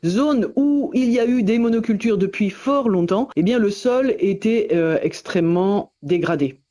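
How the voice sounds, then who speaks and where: Female interviewee, middle-aged, from Normandy. Hesitant, thoughtful phrasing, mellow timbre, telling her farming life story. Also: mid-range voice, lively intonation, moderate pace. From Normandy, France. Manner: hesitant, searching for words. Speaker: interviewee, farmer and forest garden founder. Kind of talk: radio interview, personal account. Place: on a telephone call for a radio show.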